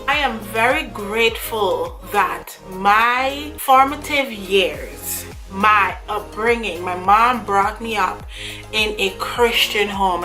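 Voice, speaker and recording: A woman's voice: mid-range voice, bright, forceful tone. Earnest woman vlogging. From Guyana. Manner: earnest, chatty, reads from notes. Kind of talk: casual talk to camera. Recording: music playing under voice. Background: background music.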